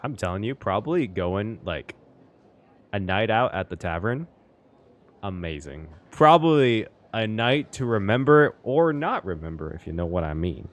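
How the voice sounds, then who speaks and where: A streamer giving casual, excitable and expressive reaction commentary on a game. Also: deep voice, moderate pace. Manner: excitable, giggly, expressive, swearing.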